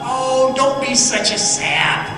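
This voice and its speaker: A man's voice: very high voice, expressive, slow pace, loud music behind the voice, exaggerated voice. An actor playing a cocky young male miner, slangy and coaxing, in old-time radio theatre style. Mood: brash. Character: cocky young male miner daring his nervous friend. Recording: echoey distant radio play recording, faint hiss.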